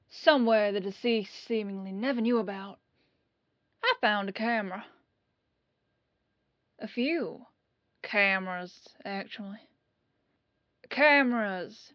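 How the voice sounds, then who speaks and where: A woman playing a dogged police detective in an audio roleplay, questioning in a folksy, falsely casual way with pauses. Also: mid-range voice, dry recording, dull audio. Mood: quietly suspicious. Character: dogged female police detective, casually probing, quietly suspicious.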